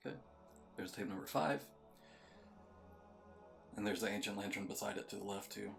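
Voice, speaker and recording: A man's voice: on the low side, moderate pace, gravelly, a bit bright, faint music behind. A man giving a casual, matter-of-fact video game walkthrough, explaining things step by step. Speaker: game guide creator.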